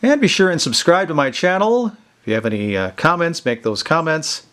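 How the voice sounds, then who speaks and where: A man's voice: a little high, very animated, fairly quick, a bit bright. Man casually demonstrating gadgets on video. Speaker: video host showing vintage electronics. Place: indoors in a basement.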